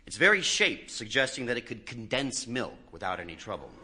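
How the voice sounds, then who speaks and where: A man reading a first-person literary short story expressively, swelling in volume and dropping softly. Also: medium pitch, fairly quick, a little hiss or hum. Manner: expressive, with strong swells and soft drops in volume.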